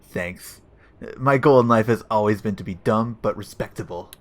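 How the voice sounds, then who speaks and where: A man's voice: lowish voice, moderate pace, natural voice. A man playing an earnest, literal-minded and upright police detective in a comedic audio play. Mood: sincere. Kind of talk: comedic audio drama acting.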